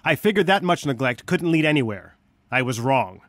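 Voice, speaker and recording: An actor playing an earnest scientist who leads a resistance, guiding heroes through video game missions in a measured, dryly wry way. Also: male voice, medium pitch, lively intonation, no echo, close to an everyday voice. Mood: regretful, grateful.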